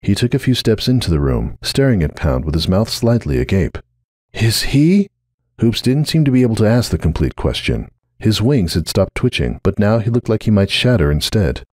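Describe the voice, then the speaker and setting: Deep, animated male story narrator. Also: a little fast, hardly any pauses, no echo, studio quality. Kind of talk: dramatic scripted fan fiction narration.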